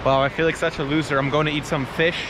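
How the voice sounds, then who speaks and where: A man in his thirties from the United States, vlogging excitedly to a handheld camera held at arm's length while he travels in a mountain village. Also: fairly high pitch, fast talker. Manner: excitable, exclaiming, casual. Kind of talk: travel vlog, talking to camera. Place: outdoors in a mountain village and in a car. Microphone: handheld action camera at arm's length. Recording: noisy outdoor ambience.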